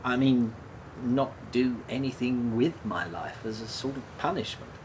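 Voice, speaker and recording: An actor playing a subdued, guilt-ridden man in a radio play, speaking haltingly and repeating his words. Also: mid-range voice, noisy background, close to an everyday voice. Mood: morose, weary. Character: guilt-ridden, self-blaming man, an ex-prisoner, subdued and troubled. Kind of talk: radio play dialogue, naturalistic.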